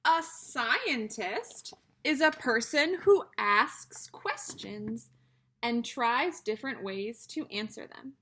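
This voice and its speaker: A woman teacher reading aloud to young children in an animated, instructional way, with simple questions and emphatic repetition. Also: on the high side, takes it slowly, slightly rough. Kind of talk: children's read-aloud lesson.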